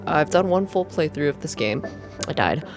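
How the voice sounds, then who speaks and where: A woman with a low voice hosts a visual novel playthrough in an intimate ASMR style. Her delivery is soft and expressive, and game music plays clearly behind her. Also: moderate pace.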